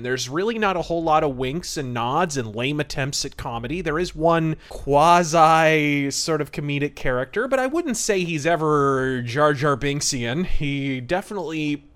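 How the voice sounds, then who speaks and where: A man's voice: on the high side, a bit bright. A man hosting a podcast and giving conversational, detailed film commentary with a wry manner. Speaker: podcast host.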